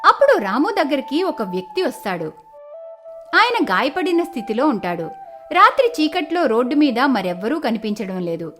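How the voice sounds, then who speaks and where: Lady narrating a moral tale, lively up and down voice on the high side, soft music under. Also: moderate pace. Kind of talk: scripted moral story narration.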